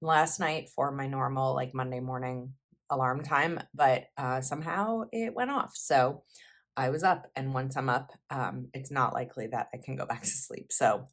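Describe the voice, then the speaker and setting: Low-voiced woman chatting through her planner. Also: moderate pace. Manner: chatty and rambling, with fillers and self-corrections. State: slightly froggy voice from heavy talking. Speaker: planner YouTuber. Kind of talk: casual planner vlog chat.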